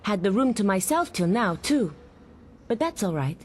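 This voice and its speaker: A woman voicing a cocky young girl training as a hero in a video game, brisk and teasing. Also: mid-range voice, sing-song, slight background noise, natural voice. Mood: cocky, friendly. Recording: music behind the voice.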